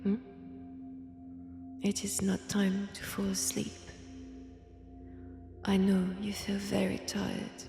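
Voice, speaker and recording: A woman with a French accent playing a menacing, seductive female vampire in an intimate roleplay audio drama, softly taunting and often whispering. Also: mid-range voice, monotone, takes it slowly, long pauses, faint music behind. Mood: coaxing, commanding.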